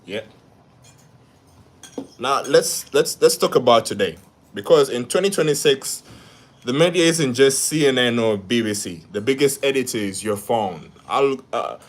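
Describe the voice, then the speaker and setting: A man's voice: mid-range voice, expressive, unhurried. A young man from Uganda, hosting a live stream where he talks through social issues and reads viewer comments, often repeating words and restarting his sentences. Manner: asks "yeah?" after each point. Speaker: livestream host.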